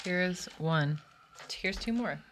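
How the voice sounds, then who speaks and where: A woman's voice: lowish voice, slow pace, a little hiss or hum. A woman playing a tabletop game in casual chat, with dry, wry asides. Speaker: player.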